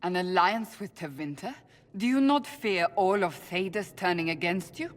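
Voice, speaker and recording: Low-voiced, stern warrior woman, played by an actress. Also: moderate pace, lightly acted. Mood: challenging. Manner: blunt, challenging. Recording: long hall reverb.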